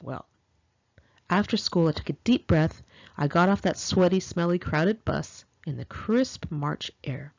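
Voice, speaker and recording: An American woman reading her own memoir aloud in an expressive, confiding, conversational storytelling style, with girlish slang. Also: fairly low pitch, unhurried, gravelly, no room sound, highs cut off. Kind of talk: first-person audiobook narration, conversational and dramatic.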